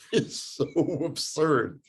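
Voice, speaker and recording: A man's voice: fairly high pitch, lively intonation, drawn-out words, creaky, slightly rough. A man with an American accent telling stories in a casual, conversational way, emphatic and repeating phrases for effect. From United States. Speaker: guest, tour guide living in Israel.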